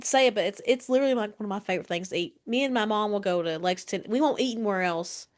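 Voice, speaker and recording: A woman from Kentucky co-hosting a casual podcast, upbeat and agreeable. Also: mid-range voice. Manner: upbeat, agreeable, quick to laugh. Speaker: podcast co-host.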